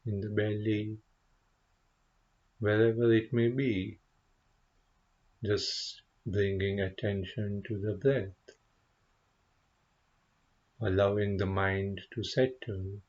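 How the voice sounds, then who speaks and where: A man leading a guided meditation as a teacher, speaking gently and contemplatively, with unhurried pauses between short phrases. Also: fairly low pitch, level tone, lo-fi sound. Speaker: meditation teacher, Buddhist monk.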